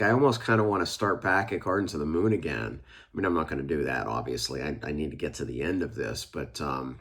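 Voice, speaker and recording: A man giving casual, rambling reading updates for his book vlog while congested from a cold. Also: low voice. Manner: rambling, thinking aloud. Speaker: book vlogger.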